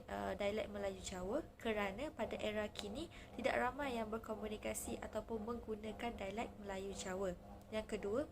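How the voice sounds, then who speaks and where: A woman's voice: on the high side, noisy background. A young Malaysian-accented woman giving a formal scripted student presentation, setting out points in order. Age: young adult.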